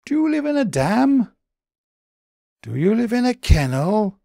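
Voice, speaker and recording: A man's voice: high-pitched, very animated, measured pace, creaky, dark tone, dry recording, studio quality, voice pushed up, full character voice. A man voicing a naive, curious young rabbit in a wondering, questioning manner for a storybook. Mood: innocently curious.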